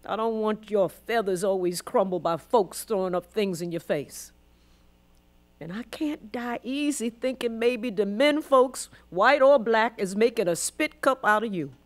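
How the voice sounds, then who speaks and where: A woman's voice: medium pitch, expressive, unhurried, natural voice. A woman acting a weary, devout old formerly enslaved grandmother in a radio drama, with a Southern US accent and solemn, emotive storytelling. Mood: tender, pleading.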